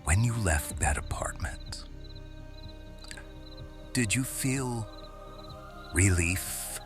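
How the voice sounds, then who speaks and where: A man playing a quiet, watchful serpent shifter guardian in an intimate ASMR roleplay, hushed and deliberate, often slipping into whispers. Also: fairly low pitch, expressive, over music. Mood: soothing, intimate.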